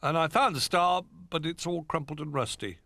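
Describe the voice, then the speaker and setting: A man's voice: on the high side, normal speed, gravelly, pushed and bright, no echo, voice pushed up. A man voicing a small, timid young teddy bear who talks in breathless, whispery bursts. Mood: rueful.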